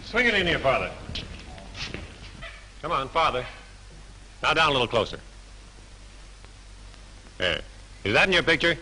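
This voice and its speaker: A man's voice: medium pitch, very animated, unhurried, long pauses, sharp tone, a little hiss or hum, exaggerated voice, poor audio. An actor playing a male sound engineer who directs how a microphone is placed. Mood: instructing.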